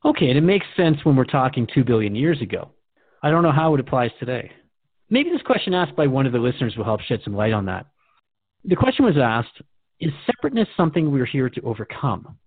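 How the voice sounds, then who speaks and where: Male host for scripted radio dialogue: inquisitive, conversational, mid-pitched, dry, tinny phone-quality recording. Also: big pitch swings, fast talker, slightly rough, no room sound.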